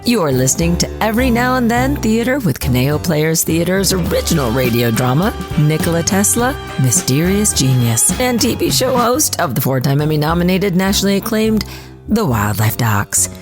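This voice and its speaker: Low-voiced, animated woman announcer. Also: moderate pace. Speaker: radio theater announcer and host. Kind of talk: scripted show announcement. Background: guitar music.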